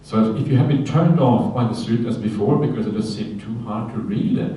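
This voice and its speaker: A man, a Buddhist monk giving a relaxed dharma talk on a sutta, often checking in with his listeners and adding light humorous asides. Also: mid-range voice, a little fast, a little hiss or hum. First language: Norwegian. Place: retreat hall. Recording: very echoey hall, voice from a distance, faint ambience.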